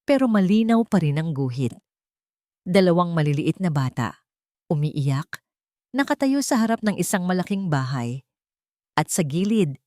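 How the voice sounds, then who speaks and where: A woman's voice: on the low side, a little mellow, no room sound. Woman narrator, close and dry. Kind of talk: scripted audio drama narration.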